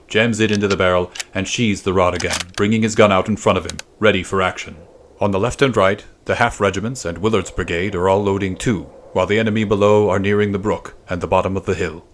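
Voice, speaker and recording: Male narrator, low pitch, dramatic storytelling, measured and suspenseful, faint background noise. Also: steady tone. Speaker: narrator of a literary podcast.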